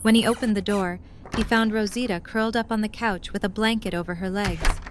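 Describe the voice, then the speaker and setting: A woman's voice: mid-range voice, steady tone, brisk, a little hiss or hum. A woman narrating a scripted story as the narrator of an audio drama.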